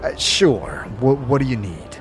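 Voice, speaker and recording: A man's voice: medium pitch, expressive, a little slow, natural voice. Man playing a hesitant, stammering adventurer over music. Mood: hesitant, puzzled. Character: unsure, polite male adventurer short of money. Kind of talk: fantasy audio game dialogue. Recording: music under the voice.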